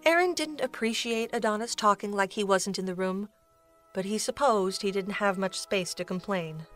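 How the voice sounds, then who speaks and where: A woman serves as narrator of a fantasy audio drama. Her voice sits at a middle pitch, delivering scripted storytelling with faint music underneath. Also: moderate pace, fairly bright tone.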